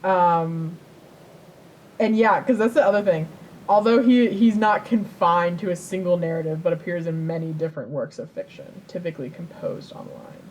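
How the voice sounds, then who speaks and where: A woman's voice: fairly low pitch, moderate pace, darkish tone, a little hiss or hum, rough recording. A woman co-hosting a podcast in casual chat, rambling and repeating herself as she tells things. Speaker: podcast co-host.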